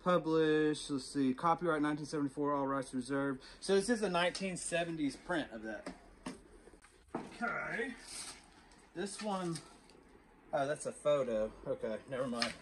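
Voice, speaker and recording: Man, 30s, slightly raised pitch, casual reseller vlog patter, faint outdoor noise. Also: lively intonation, normal speed. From Texas. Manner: chatty, talks to viewers as y'all. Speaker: storage unit reseller vlogger. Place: outdoor storage unit, then inside a truck.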